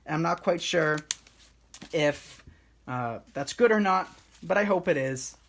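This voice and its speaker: A man hosting a live craft tutorial, talking viewers through each step in a soothing, relaxed and unhurried way. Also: fairly high pitch, vocal fry.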